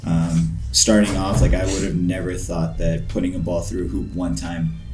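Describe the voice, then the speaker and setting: Low-voiced man in a roomy space. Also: level tone. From Pakistan. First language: Urdu. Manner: reflective, measured storytelling. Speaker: guest, basketball trainer. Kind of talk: casual interview conversation. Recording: roomy echo, faint music behind.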